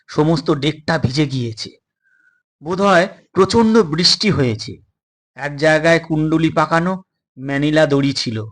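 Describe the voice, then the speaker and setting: A man narrating a suspense story as an audiobook, in a measured, expressive and dramatic way. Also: on the high side.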